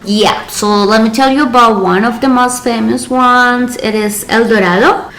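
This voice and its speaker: A woman from Colombia, a guest on an interview, telling folk legends with lively, shifting pace. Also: on the high side, moderate pace, faint music behind. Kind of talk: interview storytelling. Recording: roomy echo.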